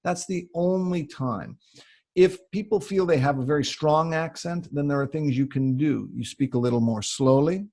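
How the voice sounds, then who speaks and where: A Canadian man coaching on public speaking, explaining things in an emphatic, conversational way with a slightly husky voice. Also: a little high, moderate pace, a little mellow. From Canada (Ontario). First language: English. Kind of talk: conversational advice answering audience questions.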